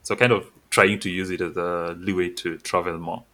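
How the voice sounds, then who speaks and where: Man, podcast co-host, very low pitch, forceful tone, halting delivery. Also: steady tone. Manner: hesitant, many "uh" and "kind of" fillers. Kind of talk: casual chat.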